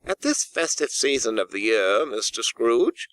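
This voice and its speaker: A man voicing a portly, courteous gentleman in a storybook reading, speaking formally and earnestly. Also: medium pitch, big pitch swings, measured pace, no room sound. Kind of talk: audiobook character dialogue.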